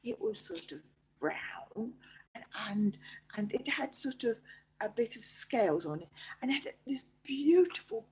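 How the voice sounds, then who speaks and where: Woman making up a bedtime story, slow n halting, really swoopy pitch, breathy, kinda childlike. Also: very animated, darkish tone. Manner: halting, childlike, repetitive, theatrical. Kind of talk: improvised bedtime story, told in character as part of it.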